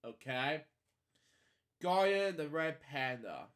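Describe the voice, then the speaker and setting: A man's voice: a little high, very animated, takes it slowly, fairly bright tone. A man hosting a casual read-along of a children's storybook, drifting into opinionated asides to his listeners. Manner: digressive, opinionated commentary addressed to listeners. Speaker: podcast host.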